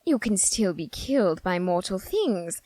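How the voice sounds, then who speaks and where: A woman's voice: medium pitch, very animated, unhurried, mellow, no room sound. A woman performing a flamboyant, haughty and easily exasperated supernatural character in an ASMR roleplay, with a theatrical, condescending, sarcastic drawl. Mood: intrigued, condescending.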